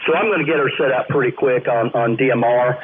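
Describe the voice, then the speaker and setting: Tinny radio transmission, faint static, man, ham operator, middling pitch, easygoing, rambling, polite. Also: fast. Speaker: amateur radio operator calling in. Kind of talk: casual on-air radio conversation. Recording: narrow-band noisy amateur radio link.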